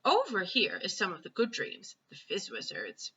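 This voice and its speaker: A woman voicing a huge, gentle, kindly male storybook giant who explains things in muddled, folksy grammar. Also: mid-range voice, huge pitch swings, husky, lo-fi sound, heavily acted. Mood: hesitant, obliging. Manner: folksy, ungrammatical, unhurried explaining.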